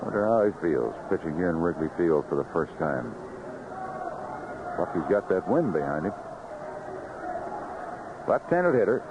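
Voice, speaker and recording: Man, American accent, radio baseball play-by-play, very lively pitch swings, dull lo-fi sound, clear background noise. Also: measured pace, pauses often. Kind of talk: live radio play-by-play commentary. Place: ballpark broadcast booth. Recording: noisy, muffled vintage AM radio broadcast.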